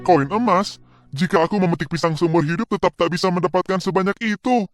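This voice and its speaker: Man playing an earnest, high-pitched young hero. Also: fast talker, soft background music, close to an everyday voice. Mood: amazed. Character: humble, startled young boy hero, small and nimble. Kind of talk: children's storybook character voice.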